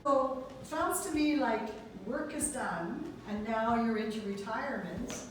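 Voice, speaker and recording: A woman's voice: on the high side, a little slow, noisy background. A woman interviewing arts club members in a chatty, enthusiastic way. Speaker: interviewer and producer. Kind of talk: casual interview. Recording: echoey room, far from mic, with music.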